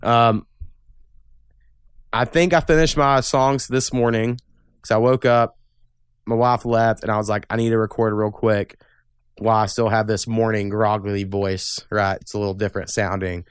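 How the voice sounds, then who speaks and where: A man's voice: lowish voice, little pitch movement, normal speed, smooth, no room sound, clean studio sound. A young man hosting a casual podcast, talking bluntly and opinionatedly and swearing freely. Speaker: podcast host. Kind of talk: casual political chat.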